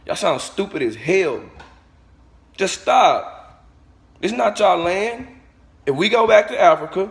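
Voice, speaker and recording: A man with a high voice delivers a fired-up vlog rant, blunt and profane. He lectures his viewers directly, driving each point home like a sermon. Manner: blunt, profane, preachy, talks straight at the viewers. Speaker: vlogger. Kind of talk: ranting monologue to camera.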